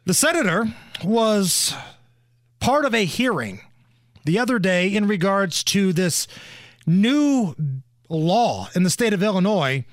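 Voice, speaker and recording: A man's voice: sits high, big pitch swings, slow pace, pauses often, dry recording, studio quality. A man hosting a talk radio show with opinionated, emphatic commentary.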